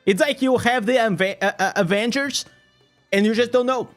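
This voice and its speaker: A man's voice: very high voice, normal speed, soft background music. A young man from Portugal, a native Portuguese speaker, chatting casually on a live stream, rambling and swearing with many restarts. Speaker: streamer reading chat.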